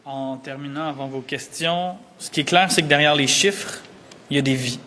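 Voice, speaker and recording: A man's voice: a little high, normal speed, bright, forceful tone. Politician, male, indignant press statement, echoing hall, faint hum. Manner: indignant, emphatic.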